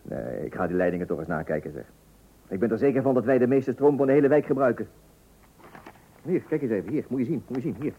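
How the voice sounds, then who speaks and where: A man's voice: medium pitch, lively intonation, quick pace, husky. An actor playing a pompous, thrifty father who makes speeches in an old radio comedy, with a Netherlands Dutch accent. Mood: indignant. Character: pompous, thrifty, self-important husband and father. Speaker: actor playing the narrator of a family comedy serial. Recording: muffled old radio recording.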